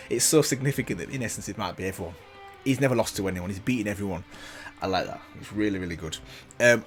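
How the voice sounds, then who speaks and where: A man hosting a casual video game reaction, chatting enthusiastically with plenty of "um"s. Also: fairly low pitch. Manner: enthusiastic, rambling, lots of fillers.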